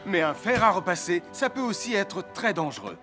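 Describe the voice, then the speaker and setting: An actor playing a friendly, responsible firefighter hero in a French cartoon dub, upbeat and instructive. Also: male voice, high voice, very animated, normal speed, music in the background.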